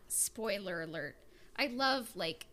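A woman's voice: medium pitch, lively intonation, slow pace, sharp tone. A woman chatting informally in a fan discussion, peppering her sentences with "like". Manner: informal, frequent "like" as filler. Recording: clear background noise.

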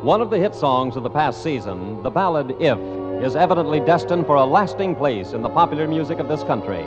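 A man announces in a scripted 1950s radio style, his pitch moving up and down with plenty of animation. The old recording is dull and narrow, with long reverb and faint music behind him. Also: big pitch swings, fairly quick.

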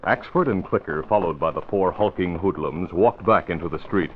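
A man narrating an old-time radio crime serial in a measured, dramatic style. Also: low-pitched, natural voice. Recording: tinny, noisy vintage radio recording.

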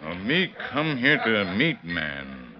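An actor playing a stoic, loyal frontier scout who speaks terse broken English in a classic radio Western. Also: male voice, on the low side, big pitch swings, takes it slowly. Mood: guarded. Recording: dull old radio recording, crowd noise.

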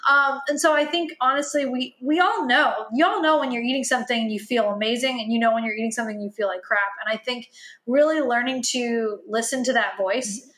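A woman's voice: fairly high pitch, brisk, a bit bright. A woman guest on a podcast talking casually as a health coach, chatty and self-deprecating, full of fillers, whose first language is Italian. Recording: roomy echo.

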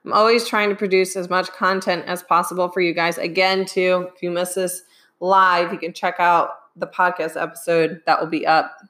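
A young adult woman who coaches fitness, giving live advice with a voice worn hoarse by a sinus infection and often checking in with "okay?". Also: lowish voice, little pitch movement, normal speed, a bit bright. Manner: keeps asking "okay?". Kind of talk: live-streamed advice talk.

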